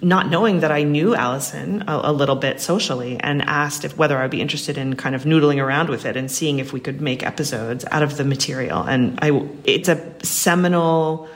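A woman's voice: sits low, brisk, few pauses. An American woman answering interview questions as a guest, chatty, reflective and wry. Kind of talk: casual interview conversation.

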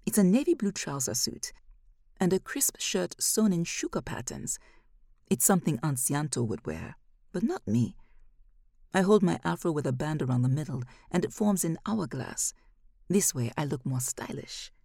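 A woman narrating a first-person literary short story in a measured, expressive way. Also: deep voice, slightly rough, dry recording, studio quality.

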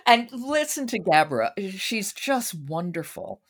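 A woman's voice: mid-range voice, sing-song, takes it slowly. Female podcast host, medium register, for book chat and program announcements.

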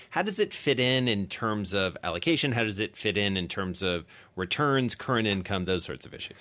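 A man hosts a business radio program, leading the talk with long, playful questions and the odd stumble. His voice sits a little low, and he speaks from a studio. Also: fairly bright tone. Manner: wordy, playful, with hesitations. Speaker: radio host.

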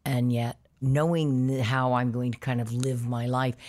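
Woman, 50s, very low deep voice; candid, animated interview guest. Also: moderate pace. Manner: candid, animated storytelling with quoted dialogue. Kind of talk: conversational interview.

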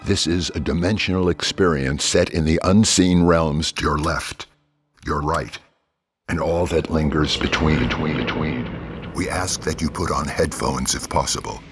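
Extremely deep, ominous male narrator. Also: a bit bright, slight background noise. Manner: slow, ominous, measured. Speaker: show intro narrator. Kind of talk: scripted narration.

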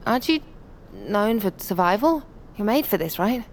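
A woman playing a brisk, friendly crop scientist in audio fiction, confident and a little teasing. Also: mid-range voice, very animated, a little hiss or hum, close to an everyday voice.

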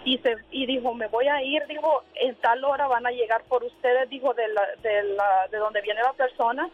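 A woman's voice: fairly high pitch, fairly even intonation, normal speed. A woman caller telling a personal story hesitantly, full of fillers and quoted dialogue, over a phone call from a car. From Honduras. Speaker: radio call-in listener. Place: in a car while driving. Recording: tinny noisy phone call.

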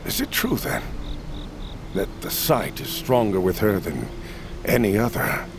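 A man playing a distrustful, hard-edged rebel crew leader in an audio drama, grim, measured and wry. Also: fairly low pitch, very animated, noisy background. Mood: grim, skeptical. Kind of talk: audio fiction drama acting.

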